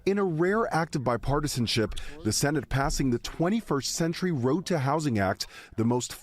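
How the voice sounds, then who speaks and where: A man's voice: fairly high pitch, lively intonation. Formal male news anchor. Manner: measured, formal news delivery. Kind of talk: broadcast news report.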